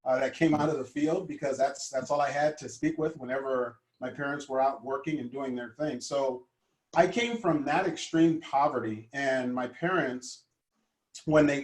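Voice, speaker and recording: A man in his fifties from Honduras, a guest life coach telling his childhood story in an earnest, gentle way with many fillers. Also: fairly high pitch, roomy sound, rough recording. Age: 50s. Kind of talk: conversational storytelling.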